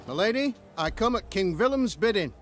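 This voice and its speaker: A man's voice: sits high, animated, normal speed, fairly bright tone, soft background music. A man playing a stiff, formal, dutifully pompous royal envoy in a fantasy video game. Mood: formal, guarded.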